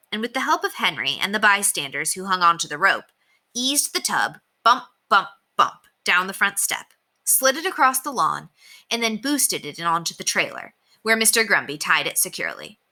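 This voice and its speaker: A woman reading a children's book aloud as narrator, expressive and emphatic. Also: on the low side. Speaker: storybook reader.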